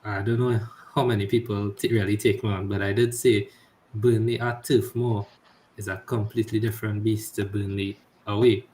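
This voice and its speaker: Man in an echoey room, measured tone. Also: on the low side, level tone, darkish tone. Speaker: podcast co-host. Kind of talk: casual chat about fantasy football. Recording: echoey room with faint noise.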